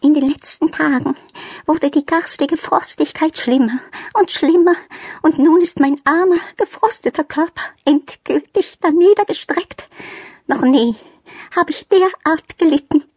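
A pompous, self-pitying aristocratic cat lady from a children's audiobook. The voice is extremely high and creaky, and it draws out its fussy, genteel phrases slowly. It sounds affected and easily offended. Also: a little mellow, no echo, clean studio sound. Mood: suffering, dramatic. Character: haughty, fussy, self-pitying female cat of noble airs.

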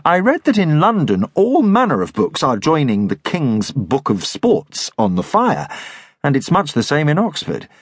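Courteous educated bookseller, read by a man, measured, wry, lively pitch, dry close sound. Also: breathy edge, no room sound, heavily acted. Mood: wry polite.

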